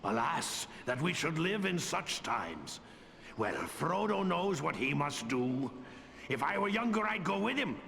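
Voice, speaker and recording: An old dwarf lord from a fantasy video game, grave but hearty. The voice is gravelly and forceful, with rolling, emphatic, old-fashioned phrasing. It rings in a reverberant hall with faint noise behind it. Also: male voice, fairly high pitch, big pitch swings, unhurried, heavily acted. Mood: earnest, urgent. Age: older.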